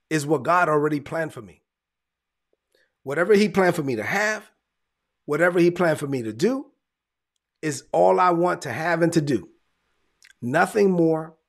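Gravelly-voiced New preacher. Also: male voice, a little high. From New York. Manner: repetitive, emphatic preaching with lists. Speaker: pastor. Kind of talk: sermon.

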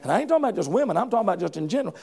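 Middle-aged male preacher, high and gravelly voice, fervent and folksy, frequent restarts. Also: very animated, rapid-fire, darkish tone. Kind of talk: sermon.

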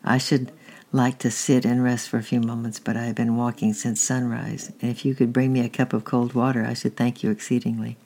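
Man reading gentle devout traveler, gravelly, flat. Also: mid-range voice, brisk, lightly acted. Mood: courteous. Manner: gentle, earnest.

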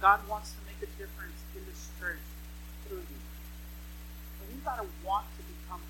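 A woman's voice: mid-range voice, normal speed, noisy background. A woman in her mid-thirties preaching a sermon to a congregation, with emphatic repeated phrases and rhetorical questions.

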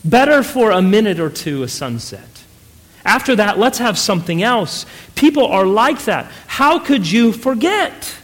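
A weary, disillusioned middle-aged wife from a story reading, acted out by a man. The voice is high and exclaiming, with folksy plain speech. It rings with long reverb in a hall. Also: sing-song, measured pace, a bit bright, exaggerated voice. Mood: weary, reflective.

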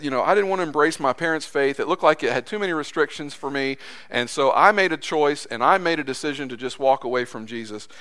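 A man preaching a sermon in a church, impassioned, his voice climbing high as he acts out quotes, then dropping low and soft. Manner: impassioned, voice rising high when acting out quotes. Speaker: pastor.

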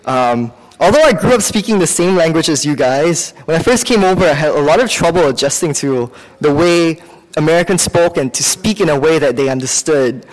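A man from Singapore with a Singaporean accent preaches in a conversational, halting way, weaving in personal anecdotes and correcting himself as he goes. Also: fairly high pitch, expressive. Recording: long hall reverb.

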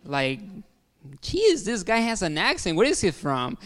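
A man's voice: high-pitched, huge pitch swings, a little slow, a bit bright. Mexican-accented man preaching in a reverberant hall. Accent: Mexican Spanish. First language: Spanish. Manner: friendly, many fillers and restarts. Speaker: guest pastor. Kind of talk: sermon. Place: large hall.

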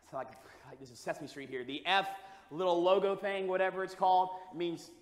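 A man preaching a sermon with a loud voice, moving between quiet storytelling and shouted preaching. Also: sits high, steady tone, brisk. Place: church hall. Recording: echoey hall with long reverb.

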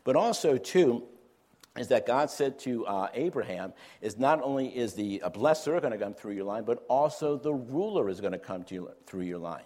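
A man's voice: medium pitch, lively intonation, moderate pace, a little mellow. A man preaching a sermon, earnest, with light humor and frequent fillers and restarts.

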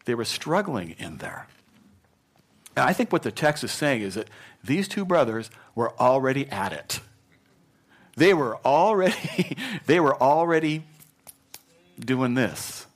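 Animated middle-aged male preacher. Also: mid-range voice, measured pace, long pauses. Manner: conversational and animated, with jokes and acted quotes; softens to a gentle, earnest tone when encouraging. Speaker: pastor. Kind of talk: sermon. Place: church.

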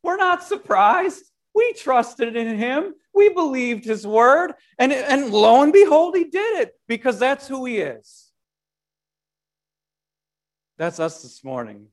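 A man preaching an Easter sermon as pastor, animated, moving between loud proclamation and quiet, self-deprecating conversational asides. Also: very high-pitched, takes it slowly. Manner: animated preaching with wide swings in loudness.

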